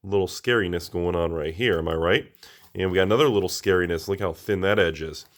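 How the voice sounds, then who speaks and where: An American man with a slightly raspy voice, a little under the weather, talking casually and ramblingly to viewers as he reviews products. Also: sits low, quick pace. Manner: informal, rambling, addresses viewers directly. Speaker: collectibles channel host.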